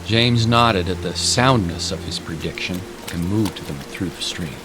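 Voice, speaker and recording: A man's voice: deep voice, lively intonation, a little slow, noisy background. A man giving dramatic scripted narration of a story. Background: music.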